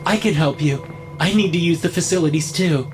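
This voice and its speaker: A man voicing a brave, gentle, protective mother in an audiobook, with a polite and reassuring manner. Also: fairly high pitch, a little fast, soft background music, voice pushed up, close to an everyday voice. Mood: willing, calm. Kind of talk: audiobook character acting.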